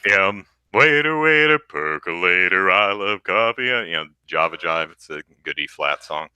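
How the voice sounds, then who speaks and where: A man with a deep bass voice, co-hosting a podcast in casual chat, rambling with lots of restarts. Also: lively intonation, normal speed, bright, forceful tone, smooth, no echo. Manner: rambling, self-interrupting with restarts, sings low demo notes. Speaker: podcast co-host.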